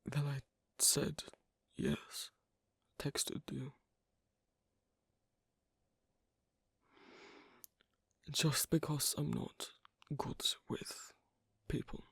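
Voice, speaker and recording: ASMR roleplay casting: performer as awkward asocial werewolf, husky and breathy, very slow, hesitant, close and dry. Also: mid-range voice, no echo, heavily acted. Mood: defensive, awkward. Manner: halting, hesitant, awkward, terse. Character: shy, socially awkward werewolf on a first date. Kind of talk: ASMR roleplay, soft intimate acting.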